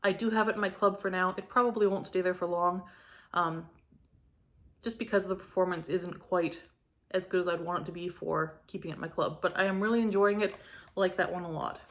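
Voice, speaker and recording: A young woman from Canada chatting casually through a home fragrance review, gushing and filling her talk with likes and ums, her throat a little hoarse from a cold. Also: on the low side, steady tone, brisk. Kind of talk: casual product review vlog.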